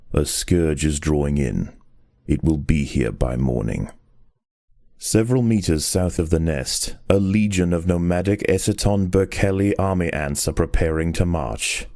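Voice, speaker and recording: Deep mellow guy doing nature doc narration, serious and measured, super dry close sound. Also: no echo. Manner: measured, grave, formal storytelling delivery. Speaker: documentary-style game narrator. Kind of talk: scripted nature documentary narration.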